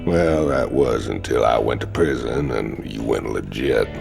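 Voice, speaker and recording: An actor playing a gruff, swaggering ex-convict brawler with a gravelly drawl, boastful and fond of mayhem, in a video game. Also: male voice, a little slow, full character voice. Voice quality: very deep, gravelly. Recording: loud music behind the voice. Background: dramatic game music.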